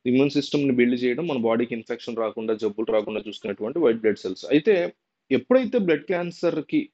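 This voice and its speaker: Fast-talking man, dull recording. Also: mid-range voice, lively intonation, mellow. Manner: repeats and rephrases points, mixes in English terms. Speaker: presenter. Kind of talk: informal unscripted explainer to viewers. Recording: dull, lo-fi sound.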